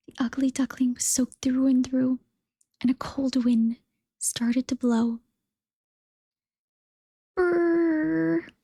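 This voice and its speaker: A woman softly whispering a children's storybook as narrator. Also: fairly high pitch, dry recording, clean studio sound. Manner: soft, breathy whispering.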